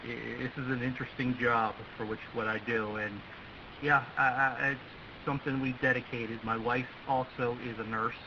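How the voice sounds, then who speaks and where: A man chatting casually and politely in a rambling way as an amateur radio operator over a radio link. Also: mid-range voice. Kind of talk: casual ham radio contact. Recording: muffled radio link with clear hiss.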